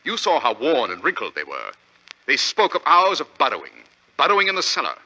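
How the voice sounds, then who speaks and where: A brilliant, self-assured detective in an old radio play. His voice is very bright and forceful, with animated, theatrical swings as he lays out deductions. The recording is dull, vintage lo-fi. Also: medium pitch, brisk, heavily acted. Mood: confident, explanatory. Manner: crisp, theatrical, didactic deduction. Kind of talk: 1940s radio drama acting.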